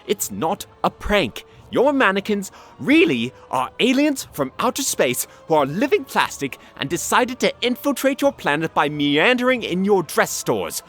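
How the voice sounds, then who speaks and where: Manic, teasing adventurer stallion, acted for a fan audio story: cocky, hugely varied pitch, light music underneath. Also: big pitch swings, unhurried, hardly any pauses, a bit bright. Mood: exasperated, teasing. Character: cocky, flippant, manic male alien-hunting adventurer stallion.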